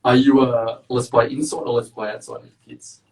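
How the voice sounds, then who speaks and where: Big-voiced host in echoey room. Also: male voice, mid-range voice, little pitch movement, brisk. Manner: loud, big voice, chatty and upbeat. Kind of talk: casual live-stream interview. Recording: echoey room, distant mic.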